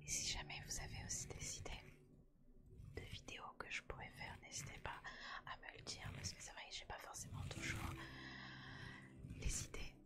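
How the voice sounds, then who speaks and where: A woman speaking in a breathy, unvoiced whisper, chatting casually and unhurriedly to viewers in an ASMR style. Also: normal speed, long pauses. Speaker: ASMR video creator and host.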